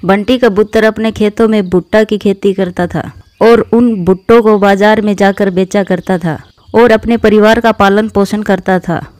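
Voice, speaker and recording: A woman narrates a children's cartoon tale. Her voice is mid-pitched and mellow, with a close, dry sound and a scripted storytelling delivery. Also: fairly even intonation, moderate pace, dry recording.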